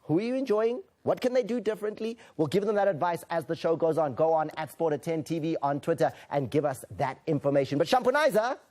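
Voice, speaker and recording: A man's voice: a little high, fast, few pauses, darkish tone. A man presenting a sports show, upbeat and talking straight to viewers. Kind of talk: studio presenting.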